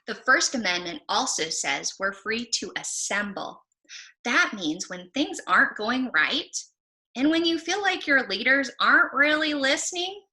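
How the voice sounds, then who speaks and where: A woman library presenter giving an expressive book talk for children, explaining and posing questions as she goes. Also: fairly high pitch, low-quality recording.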